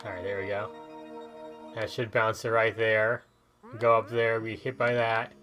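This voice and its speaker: A man's voice: fairly low pitch, completely flat delivery, a little slow, bright, forceful tone. A man giving casual gameplay commentary as a video host, chatting and thinking aloud as he plays. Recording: game music behind the voice. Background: video game music.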